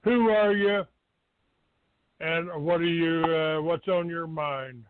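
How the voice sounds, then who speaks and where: High-pitched, blunt man on a phone-like line. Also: steady tone, slow pace, clear, resonant tone, no room sound. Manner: blunt, combative, dismissive, swearing. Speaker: talk show host taking calls. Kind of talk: live internet radio call-in talk. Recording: narrow phone-like low-fidelity internet radio sound.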